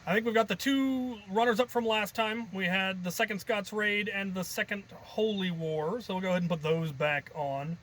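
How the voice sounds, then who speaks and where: A man's voice: sits high, fairly even intonation, moderate pace, few pauses, a bit bright. A man telling stories in a casual, rambling vlog style, thinking aloud with a jokey, self-deprecating manner. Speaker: hobby video creator and host.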